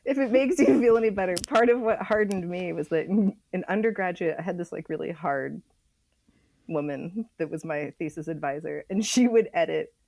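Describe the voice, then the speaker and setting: A woman's voice: on the low side, moderate pace, gravelly, mellow, no echo. Frank woman, dry close sound. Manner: frank, wry, reassuring. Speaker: writer, podcast guest. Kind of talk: casual conversational interview.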